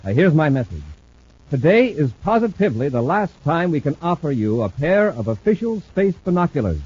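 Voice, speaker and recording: This is a firm, earnest space commander with a lively, swooping delivery. He gives clipped orders on a muffled, dull old radio transcription. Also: fairly high pitch, a little fast, slightly rough. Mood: urgent pitch. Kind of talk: 1950s radio drama acting.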